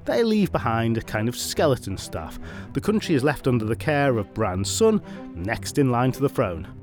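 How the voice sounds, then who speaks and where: A man's voice: mid-range voice, big pitch swings, normal speed, a little mellow, faint music behind. A man hosting a podcast, retelling an old myth in a chatty way with wry, playful asides. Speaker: podcast host and storyteller.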